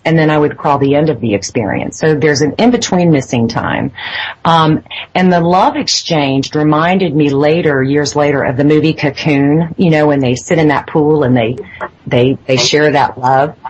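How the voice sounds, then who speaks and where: A woman's voice: deep voice, slight background noise, poor audio. A woman as an interview guest, recounting a personal experience in a hesitant way with many fillers and restarts. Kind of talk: phone interview, personal account. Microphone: phone line.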